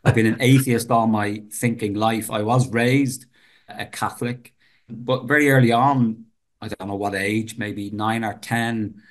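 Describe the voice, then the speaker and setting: A man's voice: on the low side, steady tone, unhurried. A man in his fifties with a Dublin Irish accent, a professor talking earnestly as a podcast guest and often repeating words as he starts a sentence. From Ireland. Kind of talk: podcast interview conversation.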